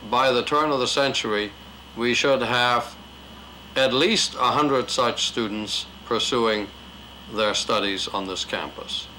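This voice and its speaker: Bright-voiced man giving a formal statement. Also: mid-range voice, slow pace, poor audio. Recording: faint hum and room noise.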